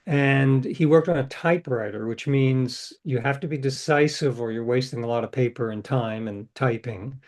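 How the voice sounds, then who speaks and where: A middle-aged man, an author being interviewed, telling stories in a thoughtful, meandering way with restarts. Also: mid-range voice. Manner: thoughtful, restarts and trails off between ideas. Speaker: interview guest, novelist. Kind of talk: reflective conversational storytelling.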